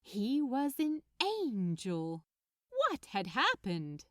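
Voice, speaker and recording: A woman's voice: a little high, huge pitch swings, drawn-out words, a bit bright, no echo, studio quality. A woman narrating fiction expressively as an audiobook reader, reading from a script.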